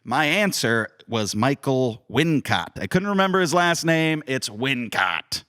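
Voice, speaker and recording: Young dude co-hosting a podcast, teasing, playful, dry close sound. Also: male voice, mid-range voice, big pitch swings, measured pace, fairly bright tone, clear, resonant tone, dry recording. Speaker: podcast co-host and director. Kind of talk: casual podcast chat.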